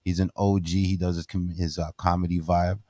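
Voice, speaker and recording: A man hosting a podcast, hyped and animated, talking straight to his audience. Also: deep voice, a little fast, no room sound. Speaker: podcast host. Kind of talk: casual chat. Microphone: studio mic.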